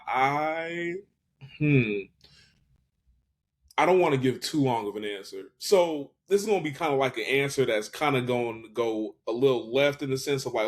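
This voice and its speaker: A man in his twenties, a podcast guest talking casually and reflectively, hesitant, with many restarts and fillers. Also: mid-range voice. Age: 20s. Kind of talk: casual reflective interview talk.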